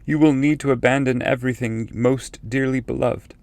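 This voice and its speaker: A man voicing a solemn, gravelly ancestral spirit. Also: medium pitch, steady tone, darkish tone, dry recording, lightly acted. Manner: solemn, measured, declamatory. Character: grave, fatherly ancestral spirit foretelling exile.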